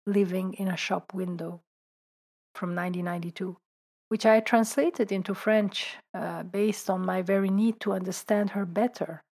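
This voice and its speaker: A woman, a writer and translator, reading her own reflective essay in a calm, thoughtful way, sometimes dropping to a soft whisper. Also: mid-range voice, moderate pace. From Belgium. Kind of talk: reflective personal essay narration.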